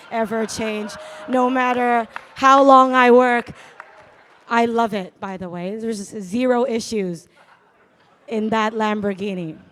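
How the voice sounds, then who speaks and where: A woman with a raspy voice, loud and brash with self-mocking humor, speaking on stage into a handheld mic as a notary at a business event. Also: on the high side. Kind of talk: live stage sponsor talk.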